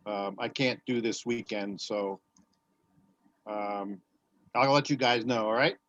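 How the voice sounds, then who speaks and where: A man's voice: on the low side, fairly even intonation, slow, fairly bright tone, dry recording. A man in casual group chat, rambling with restarts as he organizes volunteer help. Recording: dry, dull lo-fi call audio.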